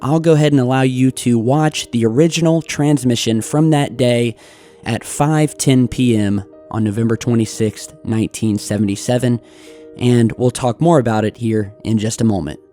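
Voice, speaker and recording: Mellow American male narrator over music. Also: medium pitch, normal speed. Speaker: YouTube host narrator. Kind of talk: scripted narration of a mystery.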